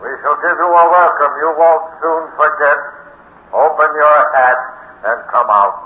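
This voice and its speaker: A man's voice: a little high, steady tone, moderate pace, echoey room, background noise, natural voice. A man acting a menacing, formal Martian captor in a 1950s radio drama, stern and commanding. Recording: narrow-band 1950s radio recording.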